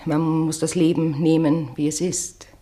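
An actress playing a nervous, self-righteous married woman with a halting, clipped delivery in a 1960s German radio drama. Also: sits low, flat delivery, dark tone, a little hiss or hum. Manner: halting, clipped, dropping into breathy asides.

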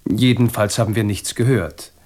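Evasive, husky-voiced servant, acted by a man. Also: fairly low pitch, normal speed, a little mellow, lightly acted. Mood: guarded, deferential. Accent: standard German. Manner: formal, guarded, politely indignant. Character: evasive, deferential male servant and chauffeur under suspicion. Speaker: actor playing a murder suspect. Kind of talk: German radio crime drama acting.

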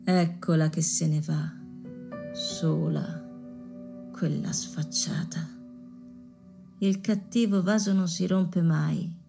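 A woman's voice: fairly low pitch, fairly even intonation, slow, vocal fry, pauses often, over music. A woman playing a spiteful, envious townswoman in a radio drama, muttering with venom.

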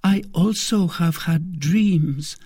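A woman's voice: on the low side, slow pace, no room sound, clean studio sound. A woman doing a whispering, anguished queen. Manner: hushed, breathy pleading. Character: troubled queen, torn and fearful. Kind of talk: dramatic audiobook reading.

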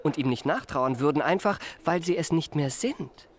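An actor in a German radio drama playing a polite young confidence man with courteous, measured, carefully phrased speech. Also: a little high, lively intonation. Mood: sympathetic. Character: polite, smooth-talking young confidence man, attentive listener.

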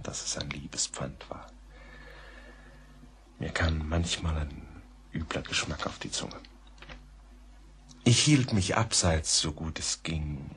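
An actor playing a grave, reflective gentleman who narrates a German radio play in a measured, often hushed way. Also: sits low. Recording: faint background noise.

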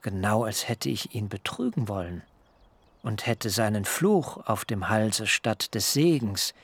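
An actor playing a cautious biblical son who tells his own story in a measured, literary way in a radio drama. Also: on the low side, lively intonation, slightly rough, heavily acted. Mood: anxious, doubtful. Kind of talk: radio drama acting and first-person narration.

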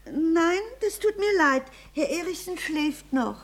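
An actress playing a nervous, pious old housekeeper, fussing and muttering in a radio play. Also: female voice, extremely high pitch, very animated, slow, full character voice. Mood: flustered. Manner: fussy, exclaiming, muttering to herself. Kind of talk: German-language radio play acting.

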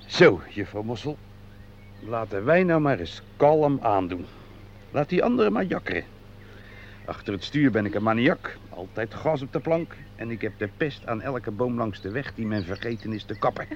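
An actor playing an easygoing, boastful nature lover and angler, jovial and unhurried, in a muffled radio play with some noise. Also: male voice, mid-range voice, lively intonation, close to an everyday voice. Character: easygoing, boastful angler and self-confessed driving maniac. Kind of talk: Dutch radio play acting.

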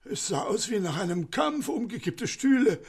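An older man playing a distraught, deferential elderly manservant in a radio crime comedy, speaking in an agitated, halting way. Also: sits high, very animated, breathy edge, heavily acted. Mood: flustered.

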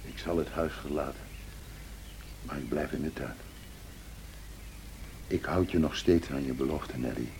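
A man's voice: a bass voice, a little slow, vocal fry, pauses often, a little mellow, heavily acted. A man playing a brooding, vengeful, grief-crazed lover in a radio play, intense and theatrical, moving between muttering and outcry. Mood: low, insistent. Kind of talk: Dutch radio play acting. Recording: noisy old radio play recording.

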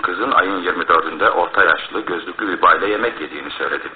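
A man's voice: deep voice, fast talker, close to an everyday voice. A male actor playing a businesslike police inspector in a Turkish radio play, earnest, formal and matter-of-fact. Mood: earnest, reporting. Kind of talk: Turkish radio theatre acting. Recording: narrow-band old radio recording, noisy.

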